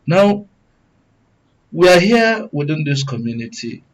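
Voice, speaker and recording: A man with a Nigerian accent speaks as a serious panel commentator. His voice is a little higher than average, and he builds his points deliberately, with emphatic, oratorical phrasing. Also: big pitch swings, rough recording. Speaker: guest commentator, good governance advocate.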